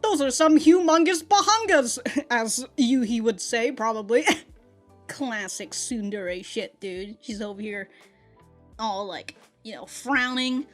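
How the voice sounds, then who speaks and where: A woman's voice: on the high side, slow, soft background music. A woman giving casual, off-the-cuff reaction commentary in a slangy, profane, giggly manner. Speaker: anime reaction reviewer.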